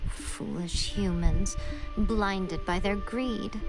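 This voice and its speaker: A woman playing a sly, elegant fox-spirit priestess in a video game, speaking in a measured, knowing, formal way. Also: mid-range voice. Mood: disdainful. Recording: music behind the voice. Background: game music.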